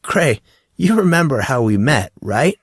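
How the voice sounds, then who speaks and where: A man's voice: mid-range voice, big pitch swings, measured pace, breathy edge, dry recording, clean studio sound, voice pushed up, full character voice. A man voicing a laid-back teenage boy who is keen on martial arts and talks in casual dude-and-bro slang.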